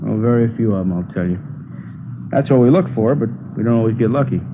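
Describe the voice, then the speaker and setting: An actor playing an affable, folksy, talkative salvage yard owner in a 1950s radio drama. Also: male voice, medium pitch, fast, close to an everyday voice. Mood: explaining, defensive. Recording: noisy, phone-like vintage radio broadcast.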